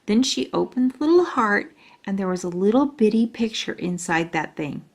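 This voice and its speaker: A woman's voice: medium pitch, lively intonation, normal speed. A woman reading a children's storybook aloud as a storyteller.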